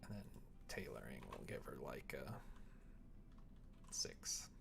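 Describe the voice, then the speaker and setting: A man chatting casually on a live stream, talking half to himself as he works, sometimes louder. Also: rumbling low, lively intonation, slow pace, vocal fry, noisy background. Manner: mutters to himself while working, with sudden louder bursts. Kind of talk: casual stream chat while working. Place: indoors at a computer desk, keyboard typing behind.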